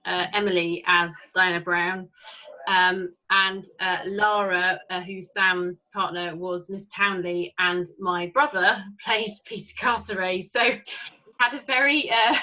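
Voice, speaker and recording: A woman with a bright, forward voice, chatting as an interviewer. She speaks slowly and haltingly, and the recording is a little muffled. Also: mid-range voice. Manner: hesitant, halting. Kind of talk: casual interview chat.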